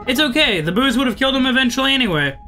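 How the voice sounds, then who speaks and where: A man's voice: very high-pitched, animated, racing, sharp tone, faint music behind, voice pushed up, heavily acted. A man voicing a guarded, blunt young woman in a visual novel, terse and matter-of-fact. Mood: blunt, resigned.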